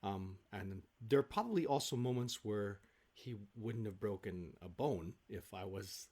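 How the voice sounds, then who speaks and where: Low-pitched man, casual and rambling. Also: lively intonation, a little slow, a little mellow. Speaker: guest, stage actor. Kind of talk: casual conversational interview. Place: home office, on a video call. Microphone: video call microphone.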